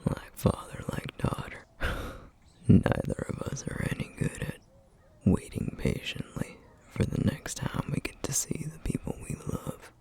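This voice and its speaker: A man playing a tender, teasing single-dad boyfriend in an intimate ASMR roleplay, with a husky, half-whispered, affectionate murmur. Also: measured pace, natural voice. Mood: fond, teasing. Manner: soft, hesitant, affectionate murmuring with soft chuckles. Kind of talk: ASMR roleplay, intimate one-sided dialogue to listener.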